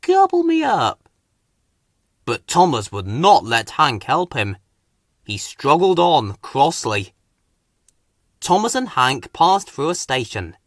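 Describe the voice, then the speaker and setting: Very animated, unhurried male storybook reader. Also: medium pitch, dry recording, clean studio sound. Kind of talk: scripted children's story narration.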